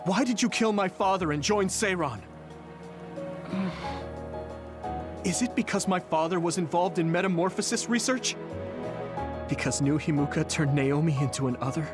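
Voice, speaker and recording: An actor playing an earnest, troubled young soldier in a video game, speaking in a sincere, searching way. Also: male voice, high voice, brisk, music in the background, close to an everyday voice. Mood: urgent, pleading. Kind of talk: anime game dub acting.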